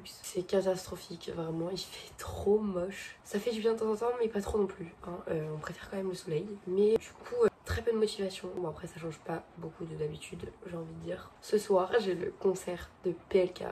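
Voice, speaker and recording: Late-teen girl vlogging on her phone, nasal from a cold, slangy, half-whispered, lowish voice. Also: warm tone, a little hiss or hum. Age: late teens. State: congested with a cold. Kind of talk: casual diary vlog chat. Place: bedroom at home. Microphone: phone camera.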